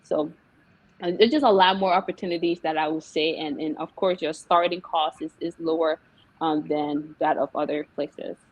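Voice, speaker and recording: A woman's voice: deep voice, fairly even intonation, moderate pace, no room sound, rough recording. A young woman from Liberia, a guest entrepreneur in an interview, talking casually with many fillers and laughs. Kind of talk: interview chat.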